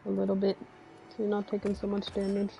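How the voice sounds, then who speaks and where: A woman's voice: medium pitch, monotone, fast talker, creaky, mellow, soft background music. A woman chatting casually and grumbling sarcastically while playing a video game with her brother. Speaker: gaming streamer. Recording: dull lo-fi stream audio.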